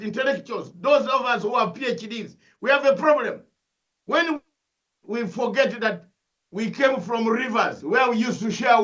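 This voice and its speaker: An older Ugandan-accented man with a very high, bright, forceful voice, lecturing with fervour and piling up rhetorical questions. He comes through a dull, lo-fi remote link. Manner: emphatic, preachy, full of rhetorical questions and repetition. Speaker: analyst guest. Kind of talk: impassioned interview commentary.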